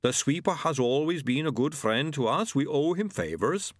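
A man voicing a huge, good-natured male yeti who talks in an unbothered way with a booming chuckle. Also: big pitch swings, moderate pace, no room sound, studio quality. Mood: placid.

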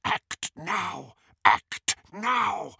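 A man's voice: high voice, lively intonation, drawn-out words, creaky, sharp tone, breathy edge, dry recording, studio quality, voice pushed up, full character voice. Man doing an urgent, whispering goblin conspirator. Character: male goblin accomplice, urgent hissing conspirator.

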